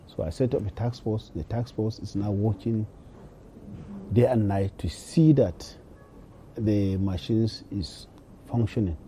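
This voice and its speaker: A man in charge of a college speaks off the cuff in an interview with a Nigerian accent. His voice is low and very muted in tone, with emphatic, halting phrases over faint noise. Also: warm tone. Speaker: college head. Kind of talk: unscripted interview remarks.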